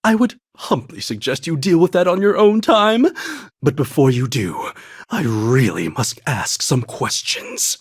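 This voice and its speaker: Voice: medium pitch, lively intonation, unhurried, slightly rough, no echo, clean studio sound. An actor voicing a sly, courteous interrogator with a theatrical, probing manner in a video game cutscene. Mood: mocking politeness. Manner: mock-polite, probing, theatrical.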